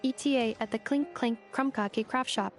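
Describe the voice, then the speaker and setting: A woman's voice: fairly high pitch, normal speed, soft background music, lightly acted. A woman voicing a polite, helpful young female robot in a video game, speaking precisely and formally. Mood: composed.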